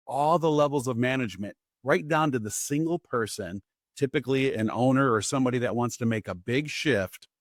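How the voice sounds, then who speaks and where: A man's voice: medium pitch, no room sound. Affirming man co-host, dry mic. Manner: affirming, builds on guest's points. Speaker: podcast co-host. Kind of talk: conversational interview.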